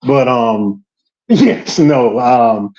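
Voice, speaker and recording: A man's voice: mid-range voice, animated, drawn-out words, darkish tone, dull audio. A man from Georgia with a light Southern US accent, talking on a casual date in a rambling, hesitant way. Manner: rambling, hesitant, with many ums. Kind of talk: casual getting-to-know-you chat.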